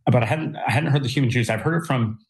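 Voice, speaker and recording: A man's voice: mid-range voice, quick pace, slightly rough. A man hosting a podcast interview who responds with enthusiasm and asks curious, rambling questions. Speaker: podcast host. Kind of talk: conversational interview.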